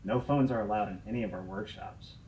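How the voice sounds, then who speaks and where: A man's voice: fairly quick, dark tone, lightly acted. Distant, curt teenage boy played by a man. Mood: stern. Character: curt, authoritative athletic teenage boy guarding a door. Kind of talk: audio drama dialogue. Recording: roomy, muffled, distant, rumbling noise.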